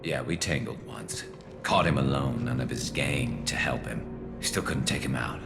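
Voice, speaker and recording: An actor playing a battle-hardened, loyal alien vigilante sniper in a video game, dry and wry, with a gravelly, flanged rasp. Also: male voice, very deep voice, normal speed. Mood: wry, grim. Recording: game music behind the voice.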